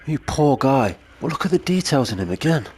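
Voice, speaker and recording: A man's voice: medium pitch, sing-song, brisk, a little mellow, slightly rough. A British man with a cold-congested voice, giving chatty, enthusiastic gameplay commentary. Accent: British English. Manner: enthusiastic, chatty, gushing over detail. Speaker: gaming video creator.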